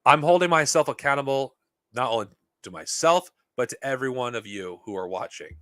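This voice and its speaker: A man's voice: mid-range voice, lively intonation, a little fast, a bit bright, no room sound. Heated, profane man, dry close sound. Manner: heated, profane, rambling with restarts. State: losing his voice. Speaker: podcast host. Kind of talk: unscripted monologue to viewers.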